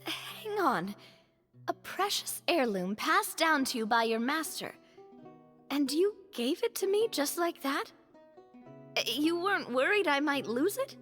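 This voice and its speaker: A gentle young lady in a fantasy game. Her voice is fairly high, polite and sincere, reverberant over background music. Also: big pitch swings, measured pace, full character voice. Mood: polite, surprised.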